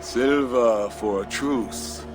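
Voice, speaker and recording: A man's voice: medium pitch, very slow, music in the background. Video game Viking chief, mature male: defiant, boastful, takes his time with each line. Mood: disdainful. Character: proud, brash Viking warlord, mature man. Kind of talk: dramatic cinematic game acting.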